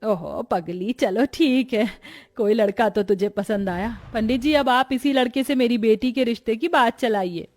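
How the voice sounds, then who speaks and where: A woman's voice: fairly high pitch, moderate pace, few pauses, a little mellow, close to an everyday voice. A woman voicing a fond, practical middle-aged mother in a family story. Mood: fond, reassuring. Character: fond middle-aged mother arranging her daughter's marriage. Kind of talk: Hindi family storybook acting.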